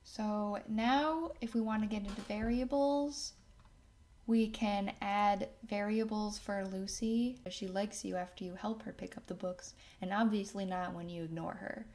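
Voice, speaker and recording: A woman hosting a casual software tutorial, thinking aloud as she works through each step. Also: medium pitch, steady tone, a little slow. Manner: thinks aloud while working. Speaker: tutorial host. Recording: clear background noise, keyboard and mouse clicks.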